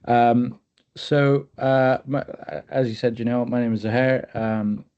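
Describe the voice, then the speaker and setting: A man in his twenties from England, speaking in a thoughtful, halting, earnest way as a webinar panelist. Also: medium pitch, fairly even intonation, darkish tone, clean tone, dull audio. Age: 20s. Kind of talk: personal talk in a webinar. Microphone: video call.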